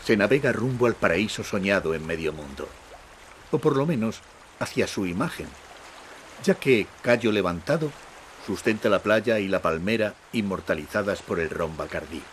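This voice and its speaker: A man narrating a travel documentary in a measured, scripted style. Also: lowish voice, lively intonation, slight background noise. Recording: music bed under voice-over.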